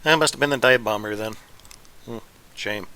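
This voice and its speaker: A man's voice: mid-range voice, bright, forceful tone, smooth. A man giving casual gameplay commentary, muttering to himself as he plays, with a phlegmy, congested voice. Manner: muttering to himself while playing, loose and unhurried. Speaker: gaming YouTuber.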